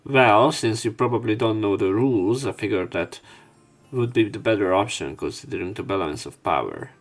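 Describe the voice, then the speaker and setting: A man's voice: fairly low pitch, normal speed. A man reading a visual novel's text aloud as a let's play narrator, putting emphasis into the spoken dialogue.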